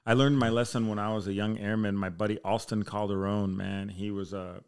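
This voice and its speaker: Low, creaky-voiced American man. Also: level tone, brisk. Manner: candid, slangy, frequently saying bro and man. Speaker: podcast guest, military fire chief. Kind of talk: casual podcast chat.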